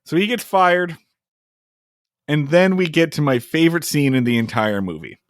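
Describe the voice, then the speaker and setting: Podcast co-host, male, lively comic storyteller who slips into goofy voices; dry, close sound. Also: a little high, normal speed, dry recording. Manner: animated, acts out scenes in funny voices, swears. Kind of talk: casual chat recapping a film.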